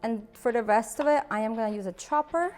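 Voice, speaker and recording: A woman's voice: a little high, a little fast, a little mellow, soft background music. A woman in her thirties from Ukraine with a Ukrainian accent, chattily hosting a cooking demonstration and laughing at her own slips. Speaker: cooking show host.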